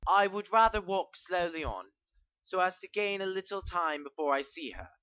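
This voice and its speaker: Tremulous, urgent young gentleman voiced by a woman, bright and lively, lo-fi sound. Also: fairly low pitch, normal speed, smooth, no echo. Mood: anxious. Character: anxious young gentleman, earnest.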